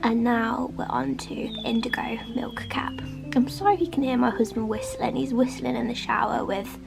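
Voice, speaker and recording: A young woman with a cold talks casually in a soft, half-whispered, rambling way from her small home art studio. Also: a little high, fairly even intonation, moderate pace, vocal fry, over music, low-quality recording. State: sniffly with a cold. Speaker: artist vlogger. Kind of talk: casual studio vlog chat.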